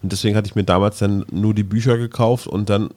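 A man's voice: fairly low pitch, fairly even intonation, quick pace, a little mellow. A man co-hosting a podcast in casual, conversational chat. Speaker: podcast co-host.

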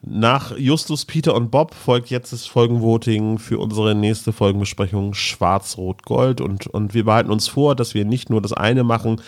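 A man's voice: lowish voice, steady tone, moderate pace, hardly any pauses, dry recording, clean studio sound. A man talking casually as a podcast host.

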